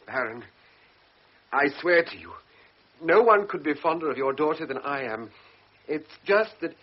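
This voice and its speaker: A man's voice: on the high side, big pitch swings, measured pace, husky, exaggerated voice. An actor playing a young lieutenant who narrates his own story in an earnest, hesitant, introspective way. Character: young lieutenant, torn and guilty. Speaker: narrator and lead character. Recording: dull lo-fi radio broadcast with music.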